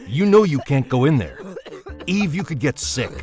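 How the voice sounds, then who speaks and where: A firm, animated quarantine guard. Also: male voice, on the high side, moderate pace. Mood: firm, urgent. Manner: firm, insistent. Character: firm, pragmatic crew member guarding a quarantine. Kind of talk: audio drama acting. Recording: music under the voice.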